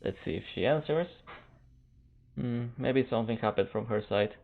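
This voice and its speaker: Man, second-language English, esports stream host, casual chat, halting phrasing, thin phone-like audio. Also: medium pitch, vocal fry. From Canada. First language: Spanish. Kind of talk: casual stream chat while waiting for a match. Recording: narrow phone-like band.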